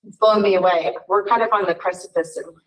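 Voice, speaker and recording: A woman chairing a board meeting in a relaxed, informal way. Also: medium pitch, quick pace. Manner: warm, informal, lots of fillers. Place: meeting room. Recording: distant room mic at a meeting.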